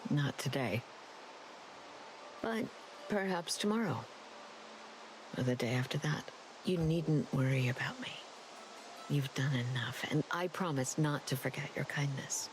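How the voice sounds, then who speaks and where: An actress playing a weary, grieving woman in a video game, gentle and grateful, speaking in earnest, formal phrases. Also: low voice, lively intonation, measured pace, pauses often, noisy background. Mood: gently resigned.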